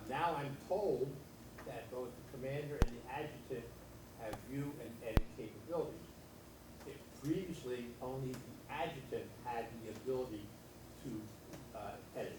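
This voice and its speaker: Loud-voiced man teaching a workshop, echoey room. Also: mid-range voice. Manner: loud, repetitive, plain-spoken instructor. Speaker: workshop presenter. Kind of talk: training workshop presentation. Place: meeting room with an audience. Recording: echoey room, distant mic, noisy.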